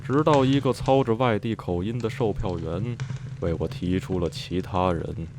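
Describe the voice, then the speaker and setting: A man playing an older man in a radio drama, grave and deliberate as he recounts his past. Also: lowish voice, lightly acted. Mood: grave, reminiscing. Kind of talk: radio drama dialogue and storytelling. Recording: clear background noise with clicks.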